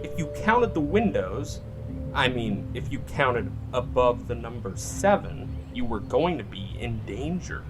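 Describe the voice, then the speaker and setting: A man's voice: mid-range voice, big pitch swings, a little slow, over music. A man playing the showy host of an urban legend show in a horror audio drama. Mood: showy, mysterious. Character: male urban legend show host broadcasting from a haunted cemetery.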